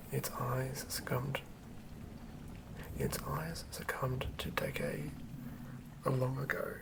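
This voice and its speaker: An ASMR host speaking in a soft, breathy whisper, gently and quietly sharing tabletop fantasy material. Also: medium pitch, poor audio. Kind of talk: whispered ASMR, chatting and reading aloud from a game manual.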